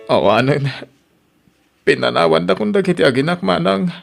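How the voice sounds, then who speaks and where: A man plays a sorry young husband in an audio drama. The voice is creaky and moves widely in pitch. He pleads emotively, full of remorse. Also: animated, normal speed, soft background music. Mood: dejected, pleading. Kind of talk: radio-style melodrama acting.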